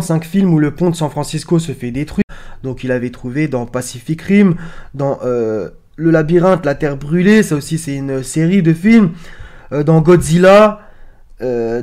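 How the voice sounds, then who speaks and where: A man's voice: on the high side, darkish tone. A man hosting an online video with informal, rambling commentary on on-screen images, explaining insistently and often trailing off. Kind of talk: informal screen-share commentary on film posters.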